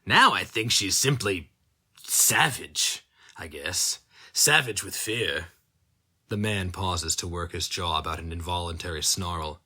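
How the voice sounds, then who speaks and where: A man giving a grave, dramatic audiobook reading of a dark fantasy story. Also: deep voice, unhurried. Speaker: narrator.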